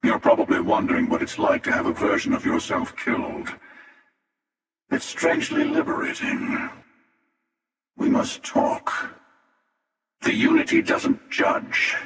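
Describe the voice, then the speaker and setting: Give the game a menacing, cynical hunter of many universes. His gravelly voice moves slowly and sardonically, as if every line is a taunt. It rings through a big, cavernous reverb and sounds set back from the mic. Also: extremely high pitch, wildly swooping pitch, a bit bright, recorded from a distance, full character voice. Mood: cool, threatening. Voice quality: gravelly, creaky. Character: cynical, menacing, world-weary male interdimensional hunter. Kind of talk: video game character voice lines.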